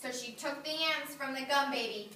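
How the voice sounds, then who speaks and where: A woman kindergarten teacher reading a story aloud to her class, animated, praising and asking the children questions. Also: high voice, moderate pace, a little hiss or hum. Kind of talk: read-aloud to young children. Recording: echoey classroom, mic far off.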